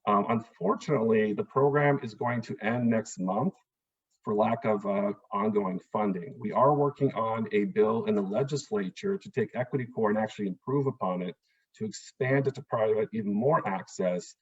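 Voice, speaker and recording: A man's voice: on the low side, normal speed, slightly rough, lo-fi sound. A man, an immigration attorney, giving an earnest, explanatory town hall talk over a video call, with frequent "um"s and "uh"s.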